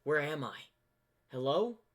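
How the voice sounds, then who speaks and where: A man's voice: a little high, lively intonation, slow pace, fairly bright tone, voice pushed up, full character voice. A man voicing a wary, defiant zebra adventurer who asks blunt, demanding questions. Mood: alarmed.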